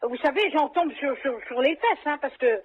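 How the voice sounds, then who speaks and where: High-voiced, exclamatory woman on the phone. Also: moderate pace. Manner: indignant, exclamatory, repeats herself. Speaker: animal shelter manager answering a phone call. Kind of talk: casual phone conversation. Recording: dull, noisy phone-call recording.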